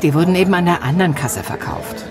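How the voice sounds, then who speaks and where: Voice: mid-range voice, fast, over music, natural voice. A performer playing an indifferent, curt cinema ticket clerk in a German audio drama.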